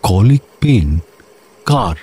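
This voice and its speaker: An actor playing a district magistrate in a comic radio drama, an officious, easily bemused senior official with an incredulous way of questioning. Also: male voice, fairly low pitch, big pitch swings, slow, dark tone, slightly rough, exaggerated voice.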